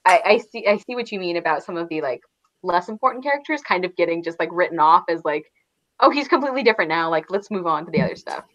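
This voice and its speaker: A woman's voice: on the low side, expressive, fast talker, fairly bright tone, dull audio. A woman in a casual, rambling book discussion, peppering her sentences with "like". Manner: rambling, frequent "like". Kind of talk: casual chat about books.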